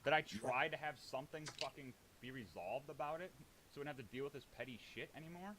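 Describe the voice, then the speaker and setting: A man's voice: medium pitch, fast talker. A man arguing informally, defensive and combative, with frequent swearing. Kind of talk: heated informal argument. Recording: hissy background noise.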